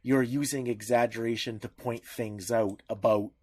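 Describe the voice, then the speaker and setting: A Canadian man co-hosts a casual discussion in a mid-pitched voice. He repeats words and restarts phrases as he works toward his point. The sound is dry, with very little room in it. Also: steady tone, normal speed, darkish tone, breathy edge, no echo. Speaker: podcast co-host. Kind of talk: casual discussion of media law.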